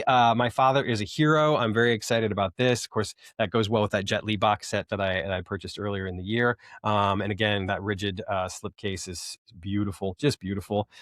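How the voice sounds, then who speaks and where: A man's voice: on the low side, fairly bright tone, no room sound, studio quality. A man hosting a casual unboxing video, talking enthusiastically in a rambling, hesitant way full of ums. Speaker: host of a film collecting video channel.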